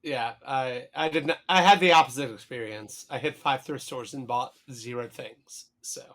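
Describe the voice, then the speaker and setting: Hoarse man rambling on a podcast. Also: mid-range voice, normal speed, a bit bright. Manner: rambling, swears casually, many fillers and restarts. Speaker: podcast co-host. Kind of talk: casual chat.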